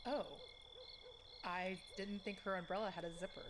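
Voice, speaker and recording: A woman playing a guarded mother who speaks quietly and suspiciously. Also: mid-range voice, steady tone, normal speed, a little hiss or hum, natural voice. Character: guarded mother Nicole, a lawyer.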